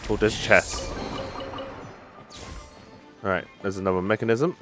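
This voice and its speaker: A man's voice: fairly low pitch, fairly even intonation, unhurried. A man hosting a gaming video, thinking aloud in casual playthrough commentary. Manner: thinks aloud, reacting to the game. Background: game music.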